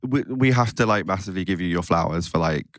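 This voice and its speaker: A man in his thirties hosts a casual podcast chat. He speaks in a slightly low voice, full of fillers, and laughs often. The sound is dry and close to the mic. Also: expressive, quick pace, dry recording. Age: 30s. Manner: warm, uses many likes, laughs often. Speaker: podcast host.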